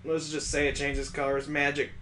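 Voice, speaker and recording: Male let's play host, slightly high voice, sarcastic read-along of a visual novel, faint noise on the recording. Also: completely flat delivery, normal speed, sharp tone. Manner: sarcastic, joking asides. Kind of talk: comedic read-aloud and commentary on a visual novel.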